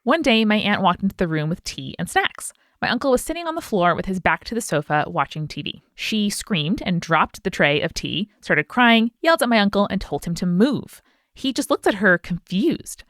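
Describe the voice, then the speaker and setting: A woman's voice: mid-range voice, expressive, normal speed, fairly bright tone, dry recording, clean studio sound. A woman hosting a podcast, chatting casually and reading listener stories aloud. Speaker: podcast host.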